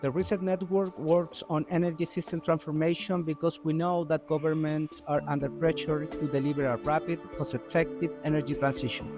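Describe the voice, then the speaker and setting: A man from Chile, an environmental economist, giving a steady, measured scripted narration for a video. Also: fairly high pitch. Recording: video voice-over with music behind.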